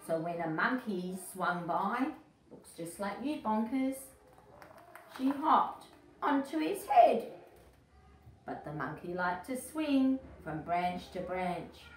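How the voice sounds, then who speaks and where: Story-time host: middle-aged woman, unhurried, expressive read-aloud for little kids, echoey room, slightly distant mic. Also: mid-range voice, a little hiss or hum. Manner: expressive, emphatic, addressing young children. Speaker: early childhood educator, story-time host. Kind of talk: children's picture book read-aloud. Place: small indoor room.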